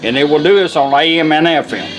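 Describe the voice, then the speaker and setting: A man's voice: on the high side, fast talker, music in the background. A man giving an informal, folksy product demonstration, explaining how things work as he goes. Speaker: radio restorer demonstrating a product.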